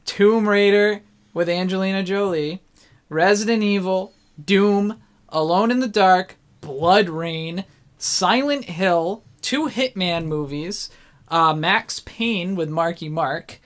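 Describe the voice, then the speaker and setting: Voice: medium pitch, slow. A host talking casually about a review topic, teasing and opinionated, with some swearing.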